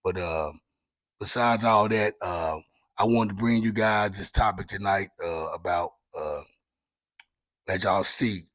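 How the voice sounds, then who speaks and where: A man hosting his own talk show, chatting casually in a loose, candid way full of slang and swearing, with a raspy voice. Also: low-pitched, measured pace, a bit bright, dry recording. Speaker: podcast host. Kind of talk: casual solo chat.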